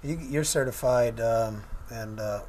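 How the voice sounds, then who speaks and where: A man's voice: mid-range voice, measured pace, darkish tone, slight background noise. A man hosting a local community TV talk show, introducing his crew in a loose, rambling way. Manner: easygoing, rambling with fillers. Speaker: host.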